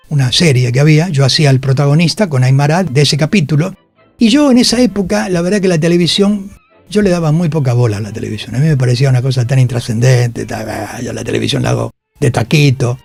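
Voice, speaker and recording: A man's voice: fairly high pitch, vocal fry, a little mellow. An Argentine man telling stories as a radio interview guest, emphatic, repeating phrases for stress and swearing freely. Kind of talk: animated storytelling in a radio interview. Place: radio studio.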